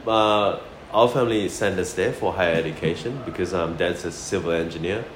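A man's voice: low-pitched, a little fast. A man from Malaysia, a chef, telling anecdotes casually and informally in an interview, repeating phrases as he goes. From Malaysia (Sarawak), later New Zealand. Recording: roomy echo, loud background noise.

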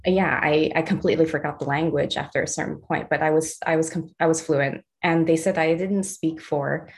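A woman's voice: deep voice, fairly even intonation, brisk, gravelly. A young adult woman from the Los Angeles area, an artist chatting as an interview guest, laughing often and restarting her sentences. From Los Angeles area, USA. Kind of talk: casual interview conversation.

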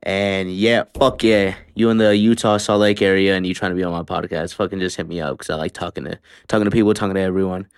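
A man's voice: low-pitched, steady tone, fast, few pauses, clear, resonant tone, no echo, clean studio sound. A young man hosting his own podcast alone, talking casually with lots of slang, swearing and filler words. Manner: laid-back, slangy and profane, with frequent fillers. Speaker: podcast host. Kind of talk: casual solo podcast monologue. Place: Utah, Salt Lake area.

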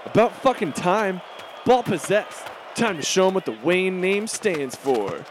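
Cartoon rich brat schoolboy, male actor, cocky, sarcastic, high and very animated, faint noise behind. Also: unhurried, a faint crowd. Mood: impatient. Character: bratty, swaggering, foul-mouthed rich schoolboy. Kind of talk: animated comedy voice acting.

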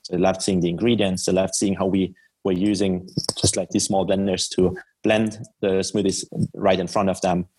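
A man's voice: sits low, little pitch movement, moderate pace, a little mellow, breathy edge, poor audio. A man from Germany with a German accent, answering conversationally as a guest in a podcast interview. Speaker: podcast guest, startup founder.

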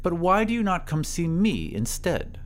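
A man's voice: sits high, sing-song, normal speed, soft background music, voice pushed up. A man voicing an irritable, insistent mother in a science fiction audio drama. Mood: irritated. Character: irritable mother in a future machine world.

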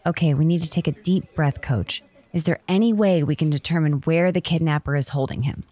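A woman's voice: sits low, brisk, warm tone. A woman playing an earnest, take-charge director of operations, speaking urgently in a comedy audio drama. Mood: concerned.

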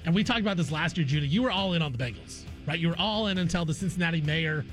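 A man's voice: on the high side, fast talker, fairly bright tone, soft background music. A man hosting a sports talk show, chatting casually and repeating phrases for emphasis. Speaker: sports talk radio host.

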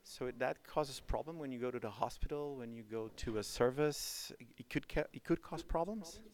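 A man's voice: mid-range voice, moderate pace. A man hosting a podcast, chatting casually with his guest and often restarting his sentences. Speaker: podcast host. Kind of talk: conversational interview.

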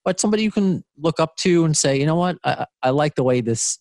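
A man's voice: a little high, animated, quick pace, a little mellow, no echo. A man in his late thirties hosting a podcast interview, chatty, laughing often and restarting sentences. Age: 30s. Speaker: podcast host. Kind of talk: casual interview.

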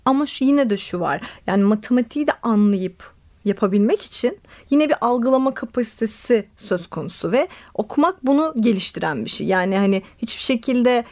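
A woman play therapist, a guest on a radio interview, explaining her ideas thoughtfully with many pauses and fillers. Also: on the high side, expressive, darkish tone.

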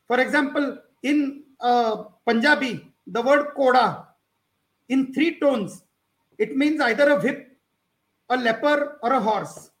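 A man's voice: extremely high pitch, fairly bright tone, low-quality recording. A man, a Konkani speaker, explaining points step by step as a podcast guest. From India. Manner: measured, explains step by step with examples. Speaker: podcast guest, author on linguistics. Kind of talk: explanatory lecture-like talk.

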